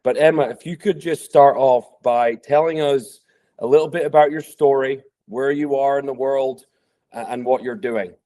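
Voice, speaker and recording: A man hosting a podcast, introducing his guest in a relaxed way with a few fillers. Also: medium pitch, normal speed. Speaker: podcast host.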